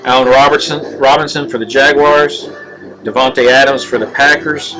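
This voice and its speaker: A middle-aged man talks through a live card break in a flat, steady monotone. His voice sits at an ordinary pitch and stumbles over fillers and restarts. Clear music plays in the background of the stream. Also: poor audio. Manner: monotone, halting with many fillers. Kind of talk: casual live-stream commentary.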